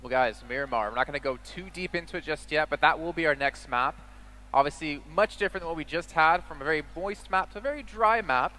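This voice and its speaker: A man's voice: a little high, fast, slight background noise. A man hosting an esports broadcast, leading into segments. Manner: lively, presenting. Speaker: esports host.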